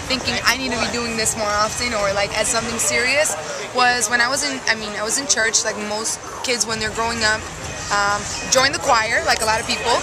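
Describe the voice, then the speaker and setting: A woman's voice: a little high, fairly even intonation, a little fast, poor audio. A young woman from Colombia who grew up in Miami, talking casually as a musician guest with many fillers and laughs. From Colombia, raised in Miami. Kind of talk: casual interview. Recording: loud music and crowd noise. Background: loud music and crowd.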